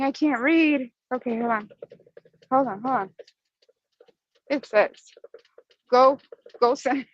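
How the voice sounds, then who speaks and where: A fairly high-voiced woman from Washington Heights whose first language is Dominican Spanish, chatting casually and reading viewer comments aloud. She laughs easily and apologizes when she loses her place. Her voice sounds dull and dry, recorded inside a moving vehicle. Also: bright, forceful tone, no room sound. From Washington Heights, Manhattan. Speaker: co-host.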